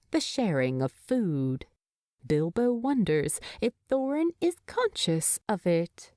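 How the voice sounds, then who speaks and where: A woman narrating a story in an expressive, tender, unhurried way. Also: mid-range voice, dry recording, clean studio sound. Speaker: fan fiction narrator.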